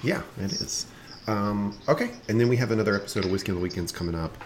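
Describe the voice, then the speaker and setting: Lively male podcast host with a slight rasp. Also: fairly low pitch, fast, slight background noise. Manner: playful, animated, laughs often. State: slightly raspy from lost voice. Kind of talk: casual podcast chat.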